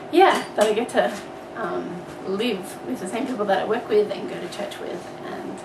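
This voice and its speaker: An Australian woman lab technician introducing herself in an enthusiastic, chatty way. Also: medium pitch. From Australia, New South Wales. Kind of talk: personal introduction to camera. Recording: echoey room, distant mic, loud hiss.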